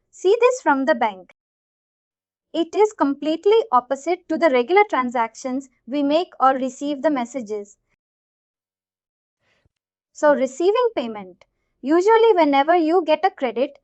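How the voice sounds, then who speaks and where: A woman with an Indian accent giving an informal live software tutorial, explaining things repetitively and checking that listeners follow. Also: sits high, dry recording, lo-fi sound. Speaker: software instructor.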